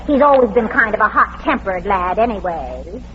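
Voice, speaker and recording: A woman's voice: mid-range voice, sing-song, moderate pace, close to an everyday voice. Folksy gossiping actress as a small-town woman. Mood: chatty, gossipy. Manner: gossipy, homespun. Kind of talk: old-time radio drama acting. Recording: muffled, very noisy vintage radio recording.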